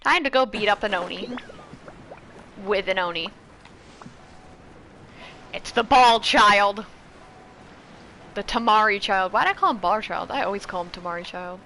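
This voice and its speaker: A woman's voice: medium pitch, measured pace, pauses often, sharp tone, slight background noise. A woman streamer giving casual, playful and self-mocking commentary as she plays a video game. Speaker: gaming streamer. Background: game music.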